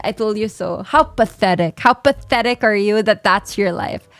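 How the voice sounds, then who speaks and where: A woman's voice: a little high, no room sound. A woman with a Filipino accent, a livestreamer reading viewer confessions aloud and reacting bluntly. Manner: blunt and exasperated in reactions, mocking the writer.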